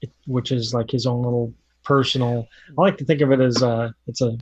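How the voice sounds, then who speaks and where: A man in casual podcast chat who talks unhurriedly and fills pauses with "um" and "uh". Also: mid-range voice, flat delivery, a little mellow, husky, no room sound. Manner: unhurried, with filler "um" and "uh". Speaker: podcast co-host. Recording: dull lo-fi audio.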